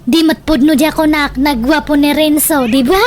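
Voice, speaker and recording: A woman with an Ilocano accent playing a feisty, stubborn, lovestruck young village woman in a comedy radio drama, animated and emphatic. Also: sits high, normal speed, background noise, close to an everyday voice. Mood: giddy, boastful.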